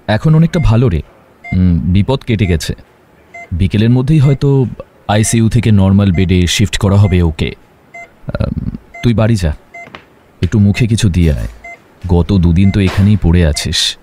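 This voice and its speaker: An actor playing a tired, reassuring friend in an audio drama, gentle and hesitant. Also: male voice, lowish voice, moderate pace, long pauses, lightly acted. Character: tired, reassuring young male friend, caring. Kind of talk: Bengali audio drama acting. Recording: faint noise behind.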